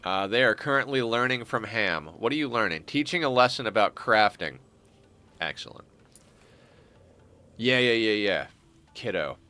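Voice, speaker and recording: A young man streams a game, sounding a bit stuffed up. His rather low voice is bright and smooth, and he talks in a loose, wry way, often backtracking to fix what he just said. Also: expressive, moderate pace, long pauses. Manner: rambling, self-correcting, wry. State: stuffed-up nose. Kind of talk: casual gameplay commentary.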